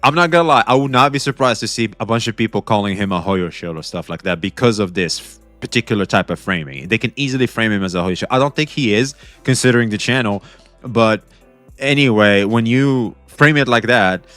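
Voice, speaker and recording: A young man hosting a casual reaction stream, talking back to a video with excited, repetitive commentary. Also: fairly low pitch, fairly quick, faint music behind. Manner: excitable, talks back to the video, repeats himself.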